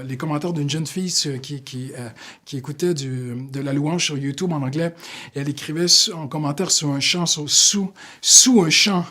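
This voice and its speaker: Canadian male preacher, fairly high voice, earnest and halting, slows down for emphasis. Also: a bit bright. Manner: earnest, with many fillers, slowing down for emphasis. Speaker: pastor. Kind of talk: short devotional sermon.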